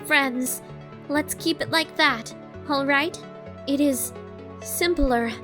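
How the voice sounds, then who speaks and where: Woman voicing an anxious, very high-pitched robot girl. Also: over music. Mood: guarded. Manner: halting, clipped short phrases. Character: anxious young female robot, traumatized, guarded, literal. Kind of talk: dramatic comic-dub acting.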